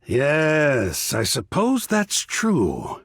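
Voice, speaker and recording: An actor playing an effusive, refined male art lover in a video game, speaking in a florid, theatrical way with dramatic pauses. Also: fairly high pitch, huge pitch swings, vocal fry, dry recording, clean studio sound, heavily acted. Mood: charmed, intrigued.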